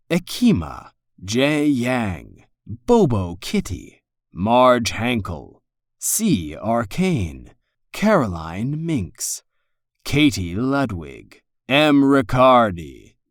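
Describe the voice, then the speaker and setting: A man's voice: medium pitch, dry recording, clean studio sound. A man reading scripted end credits for an audio production as its announcer.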